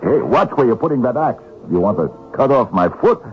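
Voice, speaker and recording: An actor playing a brash, wheedling, down-and-out Russian drifter who grumbles and hems and haws, in an old-time radio drama. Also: male voice, mid-range voice, sing-song, brisk, background noise. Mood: irritable. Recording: narrow, lo-fi old radio broadcast.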